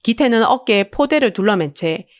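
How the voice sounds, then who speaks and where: A woman narrates a dramatic audio story from a script. Her pitch is mid-range but moves a great deal, and the recording is close and dry with hardly any room sound. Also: lively intonation, normal speed, no echo.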